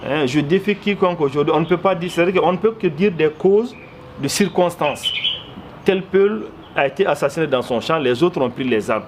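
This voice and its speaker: Higher-pitched Malian man over background noise. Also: moderate pace. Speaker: interviewee, commentator. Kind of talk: explanatory interview answers. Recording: clearly noisy background ambience.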